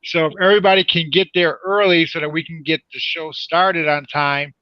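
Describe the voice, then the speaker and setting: Male guest playwright, measured delivery, dry and dull sound. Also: fairly high pitch, fairly even intonation, dry recording. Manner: deliberate, careful delivery. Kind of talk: announcing event details.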